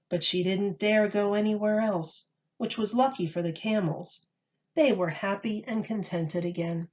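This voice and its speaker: A woman reading a children's story aloud with expressive, measured storytelling. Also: mid-range voice, lo-fi sound. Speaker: storybook reader.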